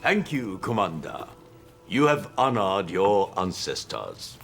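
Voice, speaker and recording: An actor playing a solemn, imperious ruler of a proud empire in a video game cutscene, proclaiming in a grave, stately manner. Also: male voice, low voice, very animated, takes it slowly, vocal fry, a bit bright, faint music behind, full character voice. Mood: solemn gratitude.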